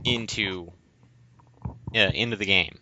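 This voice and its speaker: A man in a casual discussion about games, explaining things in a halting, rambling way as a podcast host. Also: sits low, sharp tone, slight background noise. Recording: dull lo-fi recording.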